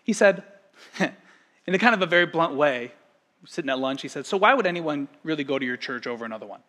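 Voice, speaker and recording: A man's voice: on the high side, fairly quick. A man in his thirties preaching a sermon as pastor, talking conversationally and telling stories to the congregation. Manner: conversational, storytelling, animated asides to congregation.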